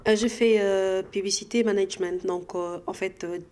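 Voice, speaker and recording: A woman's voice: mid-range voice, steady tone, normal speed, darkish tone, a little hiss or hum. A woman from the Democratic Republic of the Congo with a Congolese accent, answering interview questions conversationally and hesitantly as she searches for words. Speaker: interview guest.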